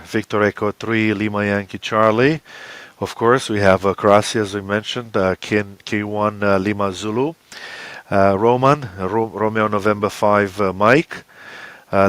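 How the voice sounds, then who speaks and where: A man with a low, smooth voice explains plans as an interviewed team leader. His delivery is hesitant, broken up by very frequent uhs. The sound is dry and close. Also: fairly bright tone, no echo.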